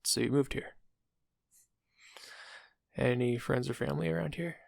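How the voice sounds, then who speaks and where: An actor playing a friendly but subtly sinister dental receptionist in an audio roleplay, casual and polite, with a husky, gravelly voice. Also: male voice, mid-range voice, a little slow, no echo, clean studio sound. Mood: friendly, businesslike. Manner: casual, polite, quietly insinuating. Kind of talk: intimate audio roleplay acting.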